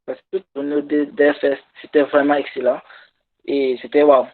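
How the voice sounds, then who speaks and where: A man whose first language is English, giving a workshop talk in halting French over a video call and pausing to search for words. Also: on the high side, flat delivery. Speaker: conference speaker. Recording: tinny phone-like call audio.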